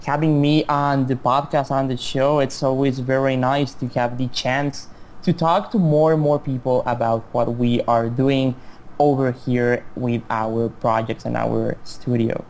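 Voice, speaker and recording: A man's voice: medium pitch, a little slow, darkish tone, a little hiss or hum. A man in his twenties from Mexico, a native Spanish speaker with a Mexican accent, answering podcast interview questions politely and hesitantly, with frequent restarts. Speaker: game studio guest.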